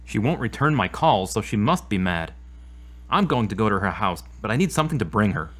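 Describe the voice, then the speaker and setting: A man's voice: lowish voice, sing-song, a little fast, fairly bright tone. A man playing a troubled, self-blaming young man in an audio drama, speaking hesitantly and remorsefully. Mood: anxious, downcast.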